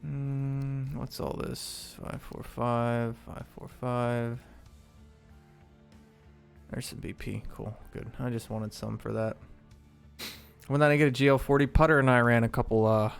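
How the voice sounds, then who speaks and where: A man's voice: medium pitch, flat delivery, slow, creaky, pauses often, faint music behind. A man streaming a video game live, chatting casually with viewers, rambling with lots of fillers as he loots and sorts gear.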